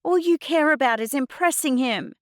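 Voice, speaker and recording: A hot-tempered teenage girl as a woman narrator plays her, defiant and indignant. Her voice jumps high and shrill in a storybook quarrel, recorded close and dry. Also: normal speed, no room sound, close to an everyday voice. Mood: hurt, defiant. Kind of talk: dramatised audiobook dialogue.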